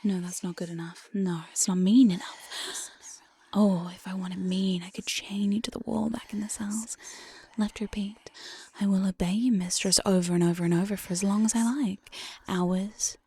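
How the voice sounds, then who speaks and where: Woman playing a breathy whispering demon mistress. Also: medium pitch, steady tone, dark tone. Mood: gleefully cruel. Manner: slow, coaxing, mock-sweet and commanding. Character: teasing, domineering demon mistress of a dungeon jail. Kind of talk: whispered ASMR roleplay. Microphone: close binaural studio microphone.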